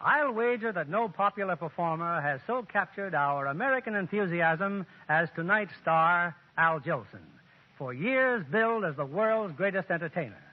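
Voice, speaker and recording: A man's voice: high-pitched, animated, moderate pace. A man giving a scripted introduction as a 1930s radio announcer. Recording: muffled, reverberant 1930s radio recording.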